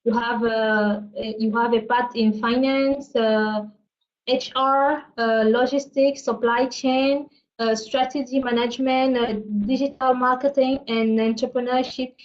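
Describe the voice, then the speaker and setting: A woman from Cameroon talking about career paths, measured and with some fillers. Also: on the high side, steady tone. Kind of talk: webinar testimony. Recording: dull lo-fi sound.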